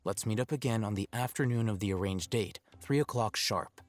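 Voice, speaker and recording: An actor playing a cool, calculating young male scholar in a video game, speaking in a measured, dry way. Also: fairly low pitch, lightly acted. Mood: businesslike.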